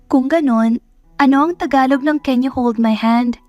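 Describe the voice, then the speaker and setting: A woman's voice: high voice, steady tone, moderate pace, a little mellow, no room sound, highs cut off, soft background music, pitched above the performer's own voice, natural voice. A woman voicing a sweet, naive young provincial woman in a romance audio drama, earnest, timid and full of questions. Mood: curious.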